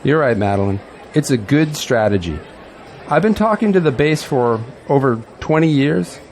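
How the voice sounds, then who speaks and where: A man's voice: medium pitch, expressive, normal speed, a little mellow, a faint crowd, a little hiss or hum, natural voice. A man acting in an audio drama as a seasoned, self-assured middle-aged politician with an emphatic delivery. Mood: confident.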